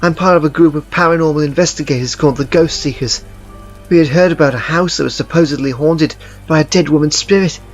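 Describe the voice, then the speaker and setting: A man voicing a panicked skinny young man who pleads in a high, frantic voice. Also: fast, soft background music, lightly acted.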